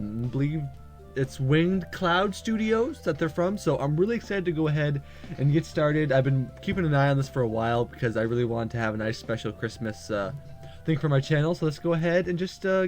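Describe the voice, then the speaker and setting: A man's voice: on the high side, expressive, a little fast. A man hosting a gaming playthrough, chatting casually in an informal way. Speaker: gaming video host. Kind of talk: casual playthrough chat and read-aloud of visual novel text. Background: music.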